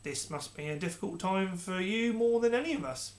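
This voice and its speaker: A narrator voicing a scheming male royal adviser who speaks slowly and soothingly. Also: medium pitch, lively intonation, pitched above the performer's own voice, natural voice. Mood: falsely soothing. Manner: slow, measured, falsely soothing. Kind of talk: storybook character reading.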